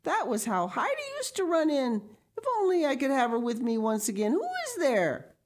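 A woman's voice: fairly high pitch, takes it slowly, warm tone, voice pushed up. A woman voicing a frail, tender old blind grandmother in a storybook, overcome with emotion as she exclaims. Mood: anguished longing. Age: older.

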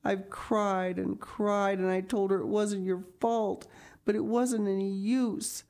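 A woman's voice: extremely high pitch, lively intonation, slow, gravelly, mellow, voice pushed up, exaggerated voice. A woman voicing a sad, devoted young girl in a storybook, a loyal best friend who speaks plainly and earnestly. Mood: mournful. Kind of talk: audiobook character reading.

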